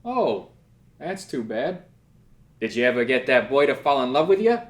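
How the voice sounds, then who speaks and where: A man voicing a lazy, rude male aardvark spirit guide at a table read, loud and drawling. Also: medium pitch, big pitch swings, moderate pace, echoey room, close to an everyday voice. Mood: mocking.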